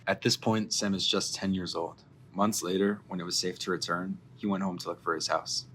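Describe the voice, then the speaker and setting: A man reading documentary narration in a measured, even way. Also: low voice, a little hiss or hum. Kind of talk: scripted narration.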